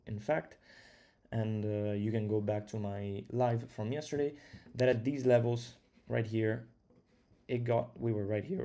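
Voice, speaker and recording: A man's voice: mid-range voice, vocal fry, warm tone. A young man with an Italian accent hosting a live trading stream from home, talking casually and thinking aloud with fillers. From Italy. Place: his home.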